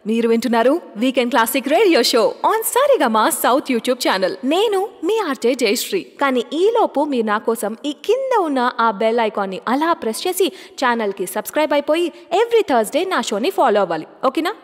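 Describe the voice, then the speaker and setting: Woman, radio host, high voice, chatty, asks listeners to agree, long reverb. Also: very animated, brisk. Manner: breezy, keeps asking listeners to agree.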